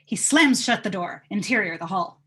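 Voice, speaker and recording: A woman's voice: medium pitch, animated, normal speed, pushed and bright, husky. A woman reading out stage directions as scripted narration at a live table read.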